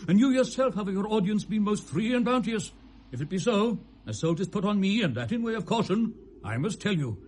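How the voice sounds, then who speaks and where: Fussy old british dad courtier, pompous shakespeare radio acting, high pitched voice, bit of tape hiss. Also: male voice. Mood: probing, reproving. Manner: pompous, sententious. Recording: hissy vintage radio drama recording.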